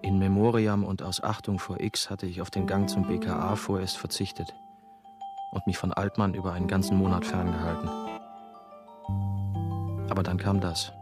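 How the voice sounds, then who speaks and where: Guy acting brooding ex novice narrating radio play, low voice, reflective, music behind. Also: flat delivery, normal speed, pauses often, natural voice. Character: brooding male ex-novice, nursing-home worker, telling his story. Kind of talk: radio drama first-person narration.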